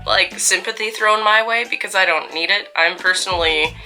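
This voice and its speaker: Chatty, wry young woman, very bright voice. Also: mid-range voice, normal speed, faint music behind. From Canada. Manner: chatty, wry and self-deprecating. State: getting over a cold. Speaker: vlogger telling a story. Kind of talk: casual story time.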